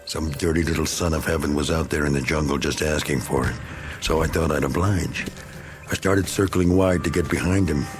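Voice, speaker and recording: An actor playing a cynical, hardened American war veteran in a radio drama, gruff and sardonic. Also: male voice, extremely low pitch, a little fast, music in the background. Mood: grim. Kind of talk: dramatic radio play acting.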